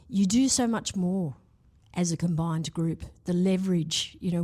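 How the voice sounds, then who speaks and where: Low mellow woman. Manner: measured, self-assured, with small restarts. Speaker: CEO of a health charity, guest. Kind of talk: podcast interview answers.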